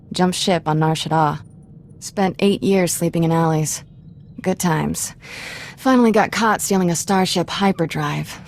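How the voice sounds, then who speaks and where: A woman's voice: lowish voice, a little mellow, a little hiss or hum, lightly acted. A woman acting a sardonic young Jedi apprentice in a video game, with a casual, flippant way of talking. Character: sardonic young female Jedi apprentice, former street urchin.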